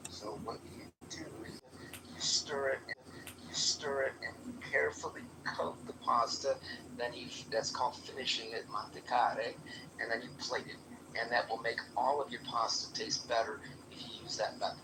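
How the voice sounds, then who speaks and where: A man's voice: mid-range voice, fairly even intonation, normal speed, background noise. A man on a group call patiently explaining how something is done. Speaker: call participant.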